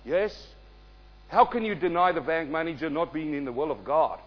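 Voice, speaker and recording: A male guest preacher delivering a sermon and prayer, quizzing the congregation and swelling loud in prayer before dropping soft. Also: fairly high pitch, animated, moderate pace, husky, dull audio. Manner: calls out questions to the congregation.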